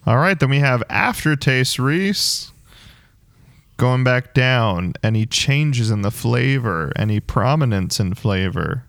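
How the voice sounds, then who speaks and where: A man with a clear, smooth, mid-pitched voice in a very dry-sounding space. He hosts in a casual, informal way, agreeable and encouraging toward whoever he is talking with. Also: expressive, slow, fairly bright tone, no room sound. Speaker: co-host. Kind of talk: casual chat, hosting.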